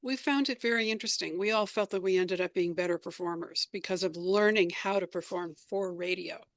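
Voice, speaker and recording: A woman's voice: on the low side, a little fast. A woman being interviewed, telling her recollections in an articulate, storytelling way. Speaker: interview guest, radio drama writer and performer. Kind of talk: reminiscing interview answers.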